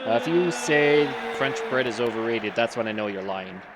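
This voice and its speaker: A man's voice: mid-range voice, expressive, normal speed, very noisy. A man with a Minnesotan accent giving casual game commentary with friends, joking and teasing as he rambles. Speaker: stream commentator. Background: game music.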